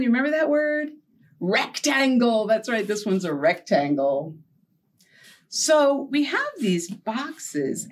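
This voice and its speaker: A middle-aged woman hosting a storytime for young children, talking in an animated way with simple questions and playful surprise. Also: on the high side. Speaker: children's librarian and storytime host. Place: small indoor set with felt board and book cart.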